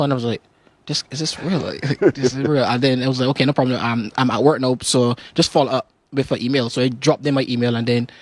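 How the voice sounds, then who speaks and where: A young Barbadian man chats as a guest on a morning show, mid-pitched and lively. He acts out his own reactions as he tells his story, in a dry, close studio sound. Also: dry recording. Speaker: interview guest, singer. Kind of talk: casual interview talk.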